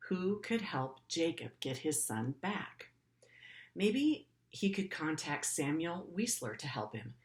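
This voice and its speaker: A woman's voice: low voice, sing-song, slow pace. A middle-aged woman teacher reading a story aloud to pupils in an earnest way, with simple, informal explanatory asides.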